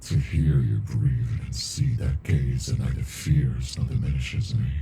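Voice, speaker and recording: A man voicing an ancient captive alien monster in an ASMR roleplay, deep and gravelly, brooding, intimate and possessively smitten with a human. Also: fairly even intonation, noisy background, exaggerated voice. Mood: confessional, tender. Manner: slow, brooding, deliberate, intimate.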